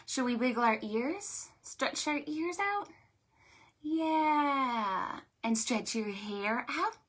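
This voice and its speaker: A woman hosting a preschool storytime, speaking to small children in an animated, sing-song, exaggerated way. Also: high-pitched, takes it slowly. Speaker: children's librarian, storytime host.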